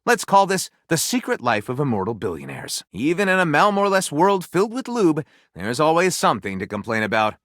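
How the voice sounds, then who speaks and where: An excitable, flamboyant male literary critic from a video game. His voice swoops dramatically across a wide range and rings bright and punchy. He makes grand, playful announcements in a theatrical style, recorded very dry and close. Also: a little high, fairly quick, few pauses, no room sound. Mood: playfully grandiose. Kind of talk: theatrical scripted game dialogue.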